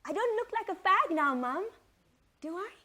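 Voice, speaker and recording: Innocent young son played by a female reader, childlike, raised lilting pitch, earnest, story reading. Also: big pitch swings, normal speed, pushed and bright, heavily acted. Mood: eager.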